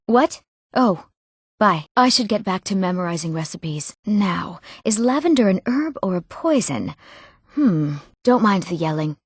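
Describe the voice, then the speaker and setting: An actress voicing an eager, earnest young townswoman in a fantasy video game, speaking clearly and expressively. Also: mid-range voice, no room sound, clean studio sound, lightly acted. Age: young adult. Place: recording studio.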